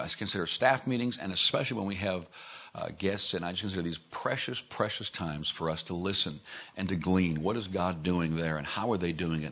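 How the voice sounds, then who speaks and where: A man with a low, deep voice speaks as a pastor to his church staff. He talks in an emphatic, preacherly way, praying and then exhorting his team. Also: sharp tone. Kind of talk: church staff meeting talk.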